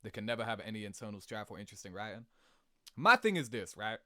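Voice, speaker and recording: A man giving casual, rambling reaction commentary in an animated, slangy, sweary way, laughing easily. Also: lowish voice, quick pace, no room sound, studio quality. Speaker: video creator reacting to game cutscenes.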